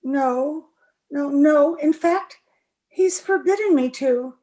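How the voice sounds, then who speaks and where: A woman playing an earnest, defiant, smitten young gentlewoman with a prim manner in an old-time radio drama. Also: high-pitched, takes it slowly. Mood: shy, smitten.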